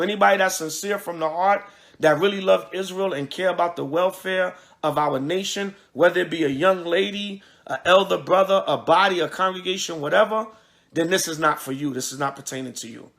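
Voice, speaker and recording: A man giving an informal preaching address as a religious leader, speaking emphatically with repetition and halting restarts. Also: a little high, fairly bright tone. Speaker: priest and religious group leader. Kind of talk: livestreamed address mixing preaching and informal talk.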